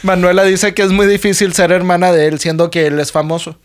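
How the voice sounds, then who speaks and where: Young man, Mexican accent, podcast co-host, slangy, laughs a lot, fairly high pitch. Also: flat delivery, fairly quick, slight background noise. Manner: laid-back, jokey, slangy, laughs often. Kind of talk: casual chat.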